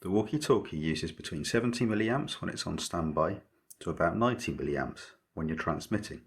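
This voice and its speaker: A man narrating a scripted electronics project tutorial as its maker, explaining methodically in a measured way. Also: sits low, expressive, creaky, slightly rough.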